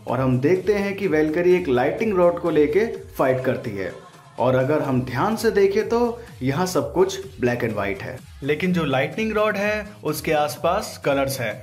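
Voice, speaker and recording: A man's voice: on the high side, very animated, normal speed, soft background music. A man hosting a voice-over breakdown in an informal, slangy way, as commentary for viewers. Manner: informal, crude slangy joking. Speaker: video host.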